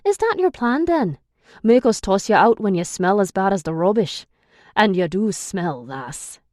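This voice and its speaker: A woman voicing an irritable, sarcastic young male captor who scolds loudly and with emphasis. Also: medium pitch, sing-song, a little slow, dry recording, clean studio sound. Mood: scornful.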